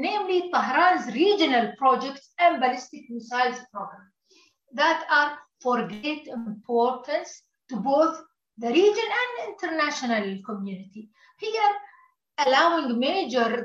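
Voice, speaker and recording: Woman, political analyst, formal measured delivery, high voice, roomy and dull-sounding remote line. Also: fairly bright tone. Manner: measured, formal policy talk. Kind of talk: remote interview answer.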